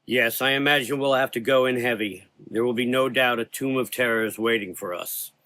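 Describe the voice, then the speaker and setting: A man playing a frail, weary old monster hunter in a gothic audio drama, solemn, restrained and quietly menacing. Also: medium pitch, moderate pace, no echo, lightly acted. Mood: calm.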